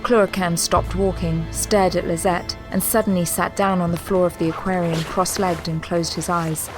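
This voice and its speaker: A woman's voice: lowish voice, little pitch movement, moderate pace, music in the background, low-quality recording. A woman narrator reading scripted audio drama narration in a composed, descriptive storytelling manner.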